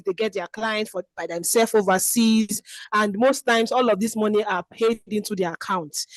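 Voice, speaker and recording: A woman's voice: fairly high pitch, no echo, poor audio. A woman asking an earnest question on a mic, talking her situation through at length. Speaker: webinar host asking a question. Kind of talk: asking a question in an online session. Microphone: separate mic.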